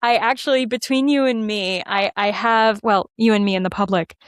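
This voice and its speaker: Chatty woman with a clear, dry sound. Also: medium pitch, steady tone, normal speed, no echo. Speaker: podcast guest, musical theater writer and performer. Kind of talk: casual interview chat.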